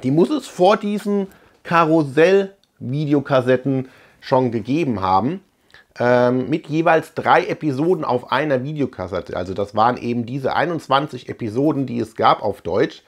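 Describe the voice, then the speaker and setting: Guy in his 40s from germany, medium pitch, chatting casually to camera like a channel host, lots of little asides. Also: animated, moderate pace. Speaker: host of a retro film and cartoon collecting channel. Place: small room lined with shelves, indoors.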